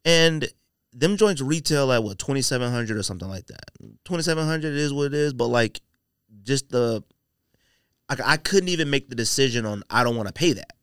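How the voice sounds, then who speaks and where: A man's voice: medium pitch, expressive, brisk, fairly bright tone, clear, resonant tone, dry recording. An American man hosting a casual studio podcast, telling stories and giving opinions in slangy, conversational language. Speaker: podcast host. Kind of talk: casual panel chat about sneakers.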